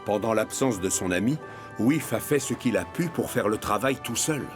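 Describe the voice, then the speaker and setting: A man narrating a children's cartoon in French, in an expressive storytelling manner. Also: fairly low pitch, normal speed. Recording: music bed behind the voice.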